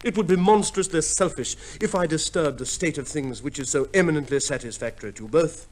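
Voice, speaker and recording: An actor playing a pompous, sardonic soldier husband in an old radio comedy play, with emphatic delivery and dry retorts. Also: male voice, on the high side, brisk, lightly acted. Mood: mock-noble. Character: Bill, blustering, sardonic returned soldier husband.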